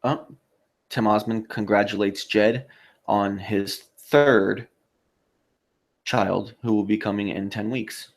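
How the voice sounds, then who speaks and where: A man with a fairly low voice and heavy vocal fry hosts a casual live stream. He reads comments aloud and answers in a rambling, halting way, with muttered asides. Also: fairly even intonation. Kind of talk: casual livestream chat, reading viewer comments aloud.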